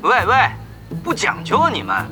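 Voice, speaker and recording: Rival guild boss: taunting, combative, hugely animated, audio drama. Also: male voice, sits high, normal speed, music in the background. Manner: needling, combative.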